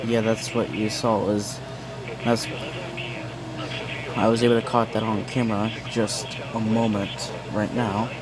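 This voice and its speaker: A man narrating a casual, unscripted vlog in a halting, repetitive way. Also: fairly low pitch, level tone, a loud crowd, poor audio. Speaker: amateur vlogger filming weather radio alerts.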